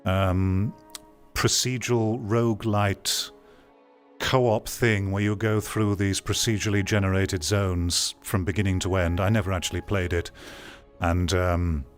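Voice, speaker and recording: A man's voice: low voice, fairly even intonation, measured pace, soft background music. A man giving casual reaction commentary in a blunt, exasperated way, repeating phrases for emphasis. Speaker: host giving commentary on game news.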